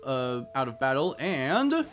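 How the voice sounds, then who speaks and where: A man's voice: mid-range voice, sing-song, takes it slowly, fairly bright tone. A young man giving casual, wry commentary while playing a video game and reading the on-screen text aloud. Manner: chatty, wry asides, reads game text aloud with put-on voices. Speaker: let's play host. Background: faint game music.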